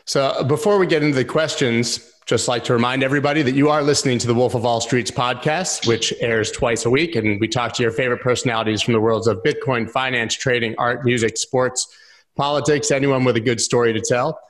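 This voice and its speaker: A man's voice: mid-range voice, fairly even intonation, a little fast. A man in his thirties hosting a podcast over a video call, reading an upbeat intro and asking questions. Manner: upbeat, reads plugs smoothly. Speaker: podcast host. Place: bright room at home.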